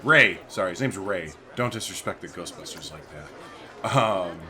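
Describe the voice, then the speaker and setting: A man's voice: low-pitched, lively intonation, unhurried, crowd noise. A man doing casual let's-play commentary, chatty and informal, thinking aloud as he plays. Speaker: gaming video host. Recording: faint background noise.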